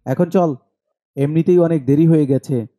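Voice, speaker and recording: A man performs a bold young sorcerer's apprentice in an animated ghost story. He is stubborn and insistent, with a very mellow tone at a slightly high pitch. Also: normal speed, close to an everyday voice. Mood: determined. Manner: insistent, dismissive of fear.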